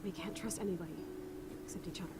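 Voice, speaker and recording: A woman's voice: lowish voice, fairly even intonation, very fast, heavy background noise, natural voice. An actress playing an earnest, troubled teenage girl sleuth in a dramatic video game. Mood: urgent, suspicious. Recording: game audio under loud music.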